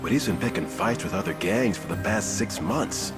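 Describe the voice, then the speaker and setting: An actor playing a roguish, loyal ex-bandit in a video game, with slangy, irreverent, wry delivery. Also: male voice, sits low, animated, moderate pace. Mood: rueful, candid. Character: roguish ex-bandit mercenary man, cocky, loyal, plain-spoken. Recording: music behind the voice.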